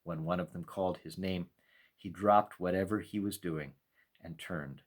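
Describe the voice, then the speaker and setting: Low-voiced man reading a children's story. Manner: measured, expressive storytelling with deliberate pauses. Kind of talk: storytime read-aloud narration.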